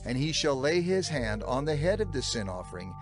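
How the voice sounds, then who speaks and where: A man narrating scripture for a dramatized audio production in a measured, solemn, declamatory manner. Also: medium pitch, very animated. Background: music.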